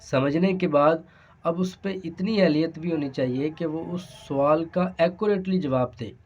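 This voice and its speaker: A man's voice: fairly high pitch, unhurried, a little mellow. A man with a Pakistani accent giving an explanatory law lesson, speaking hesitantly and repeating his phrases. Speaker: law lecturer. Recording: dull, lo-fi sound.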